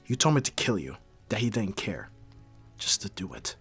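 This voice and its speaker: A man acting a brooding mafia boss, hard but secretly caring, in a dramatic audio roleplay with terse, measured delivery. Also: fairly low pitch, very animated, vocal fry, fairly bright tone, breathy edge, faint music behind, heavily acted. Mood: low, gentle. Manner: terse, profane, sighing, measured.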